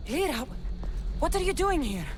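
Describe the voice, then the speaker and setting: A woman's voice: high-pitched, sing-song, moderate pace. A woman playing a bold, blunt and protective young warrior heroine in a dramatic video game cutscene. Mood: concerned. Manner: blunt, challenging, determined.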